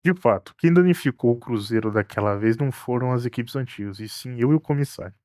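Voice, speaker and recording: A man playing a teasing, self-protective cruise captain in an animated mystery, colloquial and Brazilian-accented. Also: medium pitch, quick pace, dry recording, clean studio sound, natural voice. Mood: confessing. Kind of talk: animated murder-mystery dialogue.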